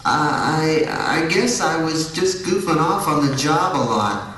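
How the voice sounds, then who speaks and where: A man's voice: a little high, lively intonation, unhurried, sharp tone. A man voicing a worried, dryly sarcastic out-of-work fellow in a puppet show. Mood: resigned, sheepish. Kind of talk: scripted puppet show dialogue. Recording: very echoey room, distant mic.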